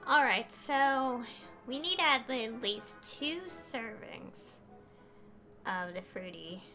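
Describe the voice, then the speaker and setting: Casting: female game streamer, bright, fairly high voice, unhurried thinking-aloud commentary. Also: lively intonation, faint music behind. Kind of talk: casual live gameplay commentary.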